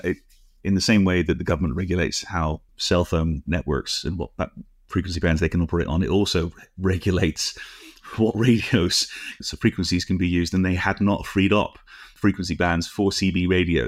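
A middle-aged or older British man co-hosting a casual podcast, explaining things in a halting, discursive way with frequent asides. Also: deep voice, no room sound, studio quality. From United Kingdom. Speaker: podcast co-host.

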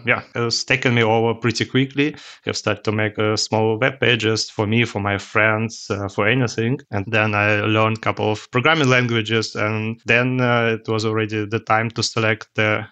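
A male podcast guest with a Russian accent talks in a fairly low but bright, forward voice. As a non-native speaker, he builds his answers haltingly, in a conversational interview style. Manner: halting, hesitant phrasing in non-native English. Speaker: podcast guest, tech executive.

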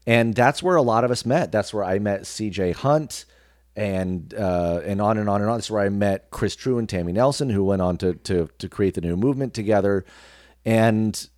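Casting: male podcast guest, fairly low voice, informal reflective storyteller, dry close sound. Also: moderate pace, darkish tone, no room sound. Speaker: interview guest, radio personality and actor. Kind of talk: casual conversational storytelling.

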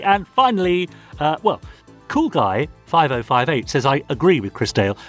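A man's voice: mid-range voice, fairly bright tone, slightly rough. A man co-hosting a podcast, reading listener comments and bantering in a jokey way. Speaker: podcast co-host.

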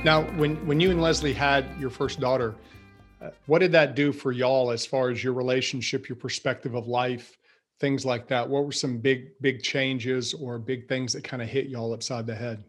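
Friendly-toned host saying "y'all". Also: male voice, mid-range voice, fairly even intonation, moderate pace, slightly rough, faint music behind. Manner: affirming, frequent "yeah" and "okay", uses "y'all". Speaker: interview host. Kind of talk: conversational interview.

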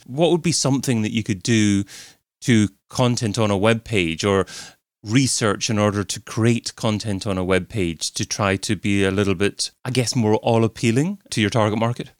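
A man's voice: lowish voice, dry recording, studio quality. A man from the UK hosting an interview, asking questions and thinking aloud with ums. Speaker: podcast host.

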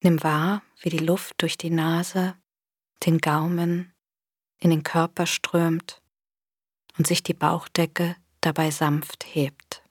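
A woman leads a guided body scan in a soft, breathy voice. Her delivery is very slow, gentle and soothing, with a slightly low pitch. The recording is close and dry. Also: flat delivery, no echo. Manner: gentle, soothing, unhurried instruction with long pauses. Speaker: meditation guide. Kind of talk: guided body scan meditation, scripted narration.

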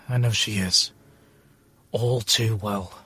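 An actor playing a troubled, loyal alien warrior, solemn and regretful, in an audio drama. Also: male voice, lowish voice, fairly even intonation, drawn-out words, bright, forceful tone, husky, exaggerated voice. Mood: somber.

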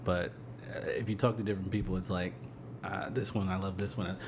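A man hosting a podcast, relaxed and joking, laughing easily. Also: deep voice, brisk. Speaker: podcast host. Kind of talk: casual podcast chat. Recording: noisy podcast mic.

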